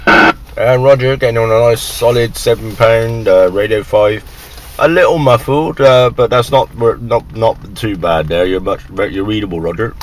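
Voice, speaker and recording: A man's voice: fairly low pitch, moderate pace, slight background noise. A man making casual amateur radio contacts, working down a list in radio jargon. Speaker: radio operator, video maker.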